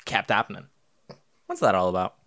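Young man with a lively, swinging voice. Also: low-pitched, a little fast, gravelly. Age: young adult. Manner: joking, swearing, teasing banter. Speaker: podcast host. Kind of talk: casual chat.

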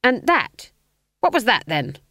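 A stern, suspicious old witch , voiced by a woman reader, in a storybook reading. Her questions come sharp and demanding, with a lively rise and fall. The sound is dry and close. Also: on the high side, a little slow, dry recording, voice pushed up, heavily acted.

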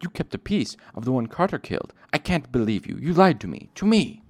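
A man voicing an excitable, easily offended young male fae servant who hisses and splutters, in a storybook read aloud. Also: medium pitch, brisk, dry recording, pitched above the performer's own voice. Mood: indignant. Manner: indignant, hissing and spluttering.

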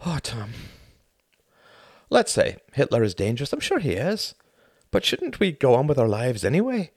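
A man's voice: medium pitch, huge pitch swings, normal speed, darkish tone, husky, no room sound, clean studio sound, voice pushed up, exaggerated voice. A man voicing Hart, a skeptical, exasperated young male academic who scoffs and argues back. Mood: reasoning. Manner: scoffing, arguing.

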